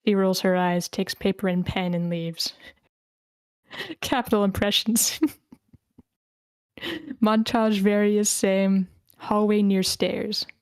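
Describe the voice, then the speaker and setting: A woman's voice: mid-range voice, steady tone, takes it slowly, long pauses, clean tone, no room sound, studio quality. A woman reading stage directions as narrator at a screenplay table read over an online call. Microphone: video call.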